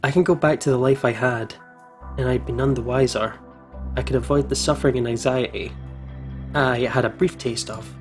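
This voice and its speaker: A man's voice: medium pitch, moderate pace. Guy doing a lets play, reading story text aloud and reacting with feeling, bit of music under it. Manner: expressive, emotionally invested reactions. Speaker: let's play host. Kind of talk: reading a visual novel aloud with casual reactions.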